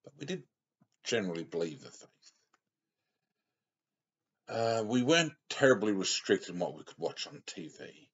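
A man's voice: fairly low pitch, sing-song, normal speed, long pauses, husky. A middle-aged man giving a rambling, plainspoken sermon to a webcam in a small room, often correcting himself as he talks. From Australia. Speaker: lay preacher. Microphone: webcam close up. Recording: dull lo-fi webcam audio.

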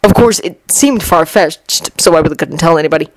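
A laconic adult male ninja, voiced by a woman narrator in a storybook reading. He speaks in a dry, deadpan manner, recorded close with no room sound. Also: sits low, fairly quick, no echo, poor audio.

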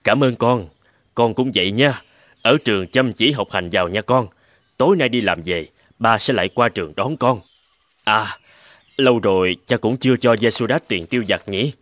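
A man voicing a caring, busy middle-aged father in a cartoon, speaking in a gentle, indulgent way. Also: lowish voice, moderate pace, no room sound, close to an everyday voice. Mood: affectionate. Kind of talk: cartoon dubbing. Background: music.

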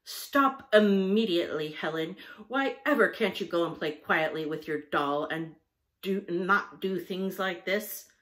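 A woman's voice: fairly low pitch, sing-song, slow pace, fairly bright tone, exaggerated voice. Woman voicing a stern, irascible storybook father. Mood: agitated, irascible. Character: stern, irascible father, gruff and easily vexed.

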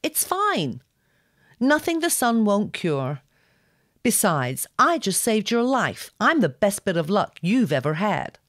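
A woman's voice: medium pitch, very animated, slow pace, no room sound, studio quality, voice pushed up. A woman voicing a proud, bossy young gargoyle girl with an imperious manner in an audio story. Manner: imperious, scoffing.

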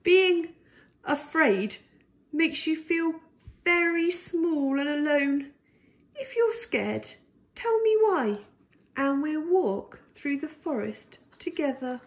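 Woman, children's storyteller, high lilting voice, highly varied intonation, gentle, deliberate picture-book read-aloud. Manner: deliberate, gentle, animated delivery for young children.

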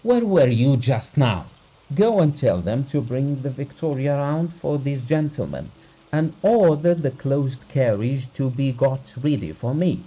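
A man's voice: mid-range voice, lively intonation, unhurried, hardly any pauses, warm tone, slightly rough, poor audio. A man reading a story aloud, voicing a wealthy, haughty nobleman who shrieks at others and his servants. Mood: raging. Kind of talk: audiobook character reading.

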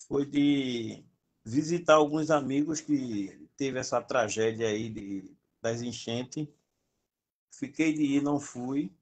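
A man on a video call, talking in a calm, reflective, slightly hesitant way. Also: medium pitch. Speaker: group participant. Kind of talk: casual chat on a video call.

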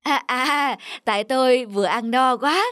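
Nervous, crafty little cartoon turtle. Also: male voice, extremely high pitch, a little slow, bright, forceful tone, dry recording, studio quality, heavily acted. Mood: bluffing. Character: small, quick-witted, nervous turtle bluffing a tiger. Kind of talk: Vietnamese animal fable cartoon.

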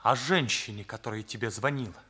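Man acting an earnest teenage son, bright forceful voice. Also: medium pitch, fairly quick, lightly acted. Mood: bitter, accusing. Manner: accusing, emphatic, morally rigid. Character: earnest, indignant teenage son confronting his parents. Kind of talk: Soviet radio play acting.

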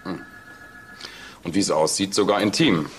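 Low-voiced sober investigator played by a man. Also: moderate pace, background noise, natural voice. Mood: matter-of-fact. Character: sober, matter-of-fact male police investigator. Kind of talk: German TV crime drama acting.

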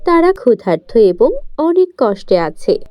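Woman reading a kids fairy tale, high voice, really animated up n down. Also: unhurried, dry recording. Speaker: storyteller. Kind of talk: scripted children's fairy-tale narration.

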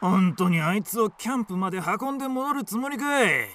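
Crude henchman, high sharp voice, lively swooping pitch, coarse, snickering delivery. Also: fairly quick. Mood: complaining. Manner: rough, snickering, coarse speech. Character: sneering bandit henchman, crude and mocking. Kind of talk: radio drama acting.

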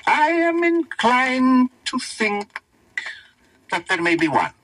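A man's voice: very high voice, sing-song, slow pace, fairly bright tone, voice pushed up, exaggerated voice. A man voicing a learned, opinionated old wizard tutor, lecturing pedantically in a storybook reading. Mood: musing, lecturing.

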